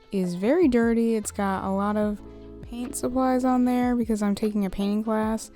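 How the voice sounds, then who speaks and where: A young woman with a slightly hoarse voice, chatting casually in a voice-over about her art. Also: mid-range voice, dark tone. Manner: chatty, rambling, laughs often. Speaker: art YouTuber. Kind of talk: casual voice-over while drawing. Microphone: USB studio microphone.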